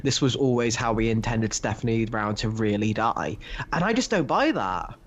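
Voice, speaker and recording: A man's voice: lowish voice, animated, normal speed. A man co-hosting a casual comic book discussion, chatty, acting out quotes and swearing. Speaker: podcast co-host. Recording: slightly dull, lo-fi audio.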